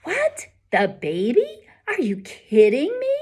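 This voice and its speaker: A woman's voice: high-pitched, huge pitch swings, slow, a little mellow, husky, pitched above the performer's own voice, heavily acted. A woman voicing a bossy, indignant school girl with a blunt, demanding manner in a children's book.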